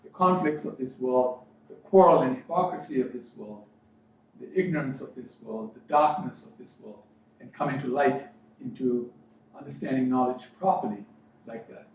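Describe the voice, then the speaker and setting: A man from Fiji, educated in New Zealand, gives a motivational spiritual talk as a coach, speaking deliberately with emphatic repetition. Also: mid-range voice. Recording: very echoey room, distant mic, dull sound, faint noise.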